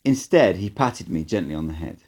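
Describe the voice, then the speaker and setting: A man reading a first-person story aloud as an amateur audiobook narrator, with an expressive, dramatic delivery. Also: deep voice, brisk, slightly rough.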